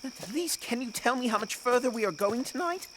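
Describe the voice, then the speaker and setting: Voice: mid-range voice, animated, fairly quick, fairly bright tone, slight background noise, close to an everyday voice. A performer playing a spoiled, indignant boy prince in an audio drama, haughty and full of protest. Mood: incredulous, complaining.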